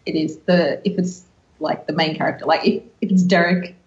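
A woman's voice: low voice, steady tone, normal speed. Woman podcaster in an echoey room. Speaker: podcast co-host. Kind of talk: casual chat. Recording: roomy, echoing, dull lo-fi audio.

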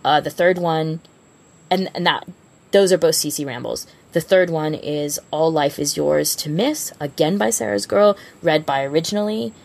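A woman co-hosts a podcast in a casual, conversational style. Her voice sits low and is strongly creaky, with a husky grain throughout. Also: moderate pace. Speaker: podcast co-host. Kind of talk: casual chat.

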